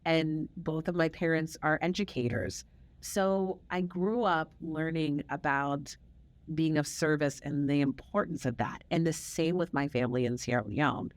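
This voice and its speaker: A woman's voice: deep voice, unhurried. A middle-aged woman hosting an interview, telling personal stories in a reflective way and repeating words for emphasis. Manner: reflective storytelling, warm emphatic repetition. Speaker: podcast host. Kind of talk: casual conversational interview.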